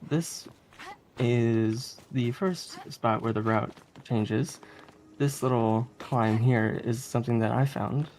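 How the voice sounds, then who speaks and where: Clearly noisy stream audio with game ambience sits around a speedrunner talking through a game run. The speaker has a medium pitch and keeps the commentary casual and playful, with a hesitant, chuckly delivery. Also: slow, darkish tone. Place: live streamed event, voice over gameplay audio.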